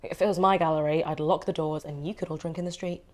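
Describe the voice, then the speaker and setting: A woman's voice: sits low, steady tone, quick pace, darkish tone, close to an everyday voice. An actress playing a sardonic, teasing, probing woman in a bickering romantic couple, in naturalistic stage drama dialogue. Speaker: actor. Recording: clear ambient background noise.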